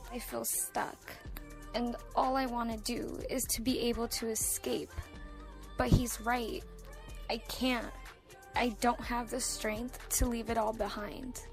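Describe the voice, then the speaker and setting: A woman's voice: fairly high pitch, little pitch movement, slow pace. A woman acting a weary girlfriend trapped with a controlling man, in an audio drama with first-person narration. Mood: defeated, resigned. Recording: music behind the voice.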